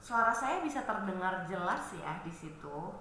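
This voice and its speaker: A woman's voice: medium pitch, sing-song, normal speed, sharp tone, a little hiss or hum. Stuffy-nosed woman in an echoey room. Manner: chatty, friendly, reads viewer comments aloud. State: stuffy nose from a cold. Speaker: live stream host. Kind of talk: casual live chat greeting viewers.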